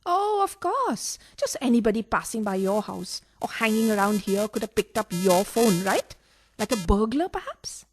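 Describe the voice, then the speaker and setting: An actress playing a coquettish, sharp-tongued, excitable mistress in a farcical radio play. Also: female voice, mid-range voice, normal speed, a little hiss or hum, natural voice. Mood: sarcastic, scolding. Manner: dramatic, teasing and scolding.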